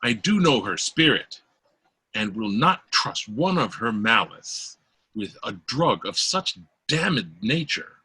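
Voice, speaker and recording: A man playing a wary, principled court doctor in a Shakespeare read-through, speaking in a deliberate theatrical way. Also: on the low side, sing-song, bright, forceful tone, slightly rough, no room sound, full character voice, low-quality recording. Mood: suspicious, confiding. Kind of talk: Shakespeare play read aloud. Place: remote video call.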